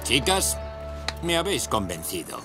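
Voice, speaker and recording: A man's voice: medium pitch, wildly swooping pitch, unhurried. An actor voicing a good-humoured man at a riding stable in a cartoon, with a teasing manner. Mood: resigned, teasing. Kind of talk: animated cartoon dub. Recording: loud music behind.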